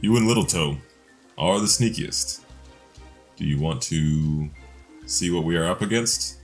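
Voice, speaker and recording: Very deep-voiced, solemn man roleplaying. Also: measured pace. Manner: solemn, formal phrasing. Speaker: tabletop game player. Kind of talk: in-character roleplay. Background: background music.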